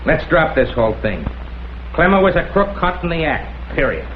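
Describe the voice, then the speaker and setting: A man's voice: fairly high pitch, big pitch swings, normal speed, slight background noise. A curt, bossy police lieutenant, thoroughly irritated. His voice swings widely in vintage crime drama style, on a tinny old soundtrack with a roomy echo. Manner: curt, forceful, dismissive. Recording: tinny, echoey old soundtrack, faint music.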